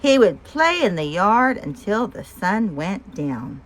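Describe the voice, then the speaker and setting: A woman reading a picture book aloud for young children with expression, pausing to ask the listeners simple questions. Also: mid-range voice, sing-song, rough recording. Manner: asks listeners simple questions between story lines. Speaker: storyteller and host of a read-aloud show.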